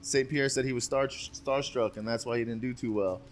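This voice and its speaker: A man's voice: mid-range voice, fairly even intonation, normal speed. A man co-hosting a podcast in casual chat, argumentative and swearing often. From United States. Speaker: podcast co-host. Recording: background noise.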